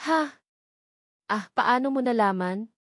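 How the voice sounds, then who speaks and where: A woman's voice: fairly high pitch, lively intonation, takes it slowly, no echo, clean studio sound. A woman voicing a shy, sentimental seventeen-year-old schoolgirl who is easily amazed, in an audiobook. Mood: surprised. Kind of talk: audiobook dialogue.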